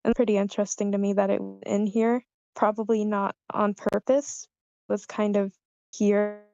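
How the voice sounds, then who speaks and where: A woman talking in an informal group discussion, halting as she searches for her words. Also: mid-range voice, flat delivery, vocal fry, a little mellow, clean tone, dry recording, lo-fi sound. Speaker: participant giving script feedback.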